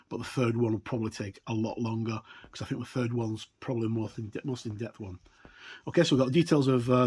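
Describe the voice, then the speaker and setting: A man giving a casual, unscripted review as he leafs through a product, talking in halting, rambling short phrases. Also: medium pitch, darkish tone. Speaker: tabletop game reviewer. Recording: clear background noise with clicks.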